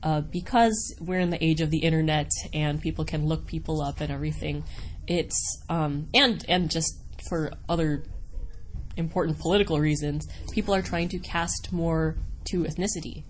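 A woman's voice: low voice, fairly even intonation, normal speed, low-quality recording. An American woman giving casual interview answers as a voice actor, chatty and rambling, with a giggly, self-deprecating way of talking. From United States (Wisconsin). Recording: noticeable background noise.

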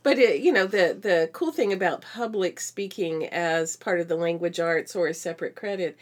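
A woman's voice: lowish voice, normal speed. A middle-aged woman chatting informally and agreeably as co-host of an educational podcast. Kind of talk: casual educational podcast chat.

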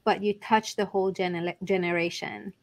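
A woman hosting an interview podcast, affirming her guest's story with measured remarks. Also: medium pitch, fairly even intonation. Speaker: podcast host. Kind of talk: interview conversation.

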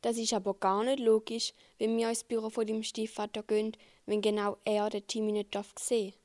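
A woman's voice: a little high, level tone, a little fast, a little mellow, natural voice. A female amateur playing Julian, a sceptical, logical boy adventurer in a children's radio play.

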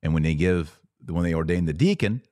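A man's voice: sits low, animated, brisk, mellow, no room sound, clean studio sound. A man hosting an informal religious Q&A, explaining things in a didactic way and answering his own rhetorical questions. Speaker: podcast host answering viewer questions. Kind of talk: live Q&A, informal religious teaching.

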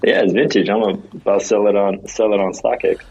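Deep-voiced male co-host, husky and conversational, heard over muffled lo-fi sound. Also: fairly even intonation, normal speed, darkish tone. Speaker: podcast co-host. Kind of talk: casual chat.

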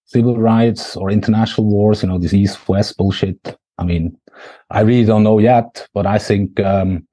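A man with a Swiss accent talks in a low, dark, husky voice. He speaks haltingly as an interview guest, circling back through fillers and restarts. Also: fairly even intonation, poor audio. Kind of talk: conversational interview.

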